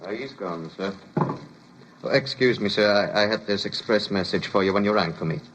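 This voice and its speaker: Vintage radio bit part: deferential police sergeant male actor, lowish, quiet, courteous, slight background hiss. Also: normal speed, natural voice. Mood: obedient. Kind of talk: old-time radio drama acting. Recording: faintly noisy old radio recording.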